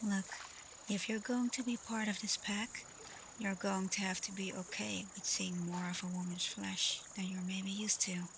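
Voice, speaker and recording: A woman playing a caring, teasing female alpha werewolf in an intimate, softly spoken ASMR roleplay. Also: mid-range voice, flat delivery, vocal fry, slight background noise. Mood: playful teasing. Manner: playful, unhurried, soft, at times whispering. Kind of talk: ASMR roleplay, intimate acting addressed to listener.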